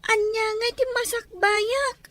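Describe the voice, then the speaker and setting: A woman's voice: very high voice, steady tone, a little slow, fairly bright tone. A woman playing an anxious young schoolgirl in a radio drama, with a plaintive, hesitant way of talking. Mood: worried. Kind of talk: Ilocano radio drama acting.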